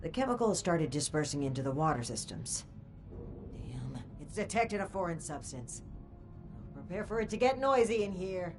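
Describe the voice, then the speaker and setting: A low female voice plays a determined technical expert in a video game. She is purposeful and steady, giving instructions with a dry, wry edge. Music plays clearly behind her. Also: moderate pace. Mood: tense, focused.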